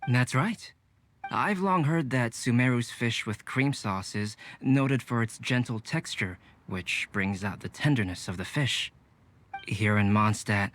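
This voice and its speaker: An actor playing a courteous, precise cook in a game. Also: male voice, fairly low pitch. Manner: polite, precise. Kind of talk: game voice acting.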